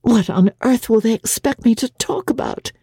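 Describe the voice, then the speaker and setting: A woman's voice: a little high, moderate pace, mellow, slightly rough, no room sound, clean studio sound, pitched above the performer's own voice. A woman voicing an earnest, anxious young society wife in a dramatic audiobook reading, prim and fretful.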